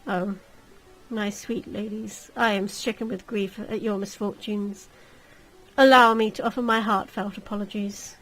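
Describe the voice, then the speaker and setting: A woman voicing a suave young marquis in a gothic visual novel, speaking with measured, teasing courtesy. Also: medium pitch, steady tone, fairly bright tone, poor audio. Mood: mock courtesy.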